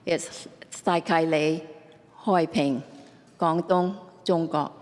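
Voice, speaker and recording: An older woman with a Canadian accent, speaking as guest speaker and reading her family history at a measured pace. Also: deep voice, steady tone. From Vancouver, Canada. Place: museum event hall, indoors. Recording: reverberant hall.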